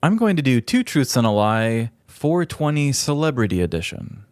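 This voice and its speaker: A man with a medium-pitched voice co-hosts a comedy podcast. His delivery is conversational and jokey, and the recording is very dry with no room sound. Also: sing-song, moderate pace, a little mellow, no echo. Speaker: podcast co-host. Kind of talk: casual comedic chat, sometimes reading aloud.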